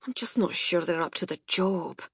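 Lady reader doing a grumpy scottish gaffer, gruff n husky, barky, audiobook style. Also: mid-range voice, lively intonation, normal speed, dry recording, clean studio sound, close to an everyday voice. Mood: doubtful, grumbling. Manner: barking, muttering, sharp. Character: gruff, grudging Scottish male boss, head gardener.

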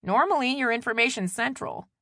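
Woman playing a firm, creaky-voiced no-nonsense mother. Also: fairly high pitch, expressive, a little fast, pushed and bright, no echo, voice pushed up, exaggerated voice. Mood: wry, curious. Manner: firm, dry, matter-of-fact. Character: tired, no-nonsense mother of a teenager, wry. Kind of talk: audiobook character dialogue.

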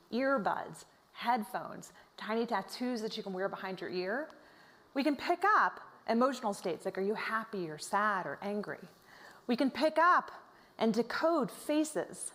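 A woman giving an explanatory public presentation as an expert speaker, articulate, with measured emphasis. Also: mid-range voice, a bit bright.

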